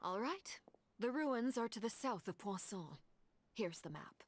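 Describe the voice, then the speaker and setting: A woman playing a composed, commanding leader of a family of orphans in a video game, speaking formally and with measured, precise words. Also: fairly high pitch, big pitch swings. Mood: calm, agreeable. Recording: music behind the voice.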